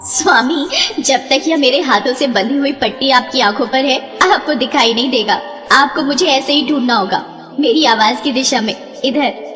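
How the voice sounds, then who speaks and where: A woman's voice: sits high, normal speed, fairly bright tone, long reverb tail, soft background music. A woman voicing a playful, teasing goddess and devoted wife in a devotional story, speaking sweetly and formally. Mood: playful, firm. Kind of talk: animated devotional story dialogue.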